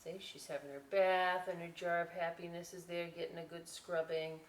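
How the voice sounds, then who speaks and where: A woman's voice: lowish voice, little pitch movement, normal speed. A woman hosting a children's story time, reading a picture book aloud and chatting through the pictures in a conversational way. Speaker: librarian story time host. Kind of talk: picture-book read-aloud with casual asides. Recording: roomy, distant mic with clear noise.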